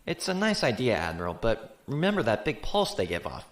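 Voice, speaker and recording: An actor playing a candid, informal tactical analyst in a science fiction audio drama, explaining things casually. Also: male voice, medium pitch, huge pitch swings, brisk. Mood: candid, explanatory. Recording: echoey room, faint background ambience.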